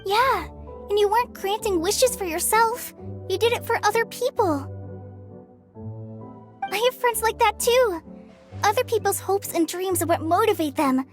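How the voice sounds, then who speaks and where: Cheerful little game girl, very high voice, lively swings, childlike earnest delivery, music behind. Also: unhurried, long pauses. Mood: earnest, reassuring. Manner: childlike, simple eager phrasing. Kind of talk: video game cutscene voice acting. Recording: background music under the voice.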